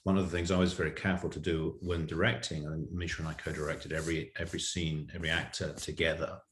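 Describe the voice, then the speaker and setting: A man's voice: extremely low pitch, flat delivery, quick pace, fairly bright tone. A man explaining his production work as a co-director in an interview, precise and detailed. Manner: detailed, precise, lists examples. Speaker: audio drama writer and co-director. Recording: roomy echo.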